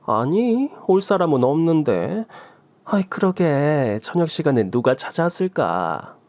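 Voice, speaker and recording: A woman's voice: deep voice, big pitch swings, unhurried, darkish tone, pitched above the performer's own voice. A man voicing a doting mother-in-law in her sixties who fusses over her daughter-in-law in a radio story. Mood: fussing. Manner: fussing, motherly. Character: doting older mother-in-law, warm and fussing.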